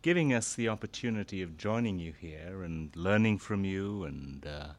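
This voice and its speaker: A middle-aged man and former foreign correspondent speaking to a gathering, halting with fillers at first, then growing more animated. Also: low voice. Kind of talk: speech to an audience.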